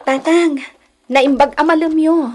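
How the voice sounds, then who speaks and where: An actress with a Filipino Ilocano accent playing a respectful nineteen-year-old daughter in love in a radio drama. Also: female voice, high-pitched, fairly even intonation, measured pace, warm tone. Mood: earnest, respectful.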